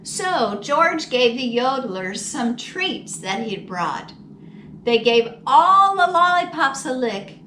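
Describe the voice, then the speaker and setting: Female storytime reader for young children: expressive, dramatic, slow-paced, roomy distant recording. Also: high-pitched. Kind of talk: children's picture book read-aloud. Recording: roomy, distant mic with faint noise.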